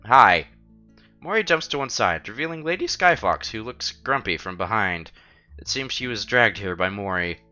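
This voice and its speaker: Bright, animated man reading aloud. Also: fairly low pitch, normal speed. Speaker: streamer playing a visual novel. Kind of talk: reading story text aloud with casual commentary. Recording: dull lo-fi sound, music behind.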